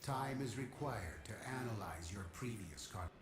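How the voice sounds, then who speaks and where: A man voicing a haughty, exacting machine-mind keeper of knowledge in a video game, formal and measured. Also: fairly low pitch, lively intonation, breathy edge. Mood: stern, exacting.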